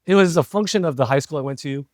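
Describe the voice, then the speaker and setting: A man's voice: fairly high pitch, fast talker, no room sound. Middle-aged American man, close dry sound. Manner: reflective, talkative, often seeking agreement with tag questions. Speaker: interview guest, entrepreneur and former CEO. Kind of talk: casual conversational interview.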